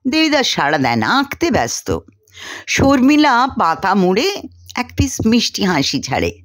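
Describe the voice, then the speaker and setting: A woman reading a short story aloud in an expressive, deliberate storytelling manner. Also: mid-range voice. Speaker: story reader. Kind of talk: scripted narration of a short story, dramatized dialogue.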